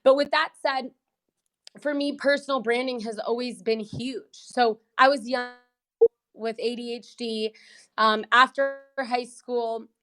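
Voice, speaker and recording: A woman's voice: fairly high pitch, steady tone, measured pace, fairly bright tone, faint music behind, rough recording. A woman from the United States whose first language is Portuguese, telling her story as a podcast guest in an upbeat, chatty way. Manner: upbeat, chatty, laughs between points. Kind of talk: casual interview storytelling. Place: in Brazil, remote.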